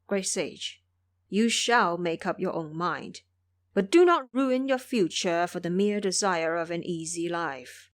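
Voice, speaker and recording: A woman's voice: lowish voice, animated, measured pace. A woman voicing a wise old male dragon king in an audio drama, formal, earnest and persuasive. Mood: urging. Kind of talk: audio drama character acting.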